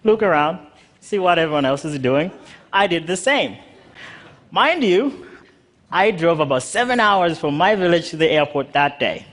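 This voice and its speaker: A man from Uganda telling his life story to an audience in a humorous, animated way. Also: normal speed. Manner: humorous storytelling with lively pitch swings.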